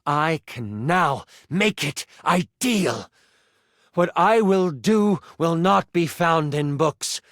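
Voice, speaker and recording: A man voicing an evil, gloating enchanter woman fused with a djinn, in a drawn-out theatrical game monologue. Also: on the high side, animated, a bit bright, dry recording, clean studio sound. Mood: menacing.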